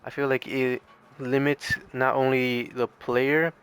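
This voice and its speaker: Casual, rambling man with a clear voice. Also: mid-range voice, flat delivery, slow, fairly bright tone. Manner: informal, rambling, hesitant. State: losing his voice, mildly strained. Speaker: gaming video creator. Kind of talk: casual gameplay commentary.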